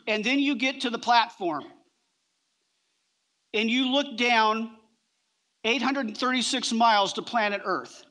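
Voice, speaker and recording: A middle-aged man preaching a sermon on a church stage, emphatic, with deliberate pauses between short phrases. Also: very high-pitched, bright, forceful tone. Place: large church auditorium, on stage.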